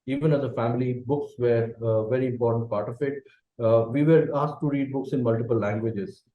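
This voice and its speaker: A middle-aged Indian man whose first language is Bengali, a company managing director answering interview questions thoughtfully and often checking in with a quick "okay". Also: mid-range voice, steady tone, roomy sound, phone-quality sound. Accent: Indian English.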